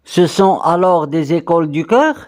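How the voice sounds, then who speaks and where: A woman voicing a curious, excited young boy in scripted children's cartoon dialogue, with an enthusiastic, wondering delivery. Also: fairly low pitch, normal speed, close to an everyday voice.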